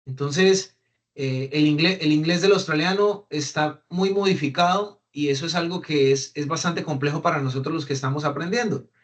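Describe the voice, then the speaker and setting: A young Colombian man with a Colombian accent and a voice set somewhat high for a man. He talks casually to a group, filling gaps with "eh" and restarting his phrases as he thinks. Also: normal speed. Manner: conversational, many fillers and restarts, mimics English phrases. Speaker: guest speaker on migration. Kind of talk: casual talk to an audience.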